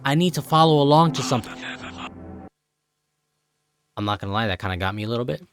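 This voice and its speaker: A man's voice: mid-range voice, expressive, fast, smooth, no room sound. A young American man giving casual, joking reaction commentary in exclamatory slang. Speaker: host of a reaction channel.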